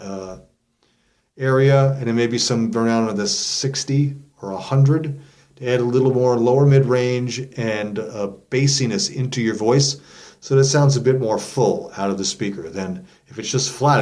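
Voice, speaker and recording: A man's voice: mid-range voice, darkish tone. Casual male vocal coach explaining. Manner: informal, demonstrative, step-by-step explaining. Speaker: vocal coach hosting an instructional video. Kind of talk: casual explanatory lesson to camera.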